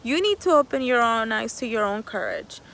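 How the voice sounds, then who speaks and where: Woman, 30s, high voice, earnest rambling self-help vlog, faint outdoor traffic noise. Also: lively intonation, a little fast, vocal fry, fairly bright tone. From El Salvador. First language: Spanish. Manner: earnest, rambling, swears freely, laughs often. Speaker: vlogger. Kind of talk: casual motivational monologue. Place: outdoors in nature.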